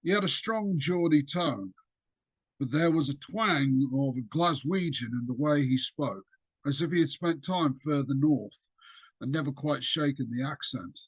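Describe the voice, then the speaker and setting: A man reading an extract of his own fiction aloud over a video call, with a steady storytelling delivery and small slips. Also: fairly high pitch, a little slow, slightly rough, no room sound, poor audio.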